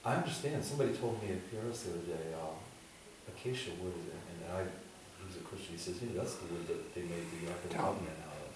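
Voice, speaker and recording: Deep-voiced man in an echoey room. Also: fast talker. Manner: prays aloud, laughs easily, hesitant restarts. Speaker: host interviewing a guest. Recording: echoey room, distant mic, background noise.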